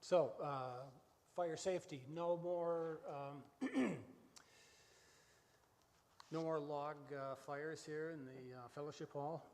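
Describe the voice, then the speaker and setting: Host, male, 60s, fairly high voice, folksy storyteller delivery, long room reverb. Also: slow, long pauses, darkish tone. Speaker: host of a church radio variety show. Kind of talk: live interview and scripted reflective monologue. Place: large church room, live before audience. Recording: echoey hall with long reverb.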